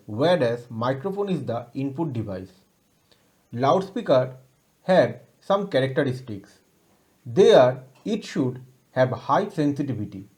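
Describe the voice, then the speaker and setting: Higher-voiced Indian man giving a lecture. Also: a little mellow. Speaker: lecturer presenting an electronics lesson. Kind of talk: scripted educational presentation.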